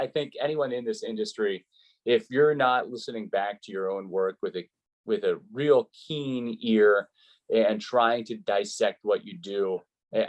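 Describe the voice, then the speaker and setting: Male sports broadcaster as interviewee, lower-pitched, explaining things conversationally and articulately. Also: a little mellow, low-quality recording. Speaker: sports play-by-play announcer, interview guest.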